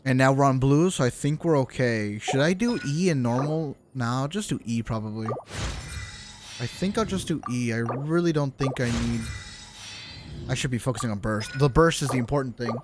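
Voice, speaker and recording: A man's voice: mid-range voice, animated, normal speed, background noise. A man doing casual, joking game livestream commentary, swearing now and then as he talks to his chat. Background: video game music and sounds.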